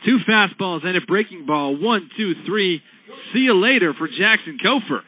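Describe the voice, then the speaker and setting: Play-by-play man on tinny radio audio. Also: lively intonation. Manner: measured, descriptive pitch calls. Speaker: play-by-play announcer.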